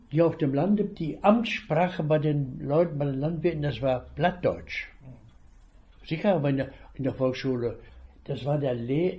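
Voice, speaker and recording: Elderly man in his eighties, slow and halting. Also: mid-range voice, warm tone, lo-fi sound. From northwest Germany. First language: Low German. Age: 80s. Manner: slow, halting storytelling with restarts. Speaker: retired veterinarian. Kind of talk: reminiscing about childhood.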